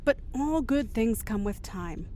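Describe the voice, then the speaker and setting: A woman's voice: on the high side, animated, a little slow, dark tone. A woman playing a patient, placating middle-aged mother in an audio drama, reassuring and coaxing.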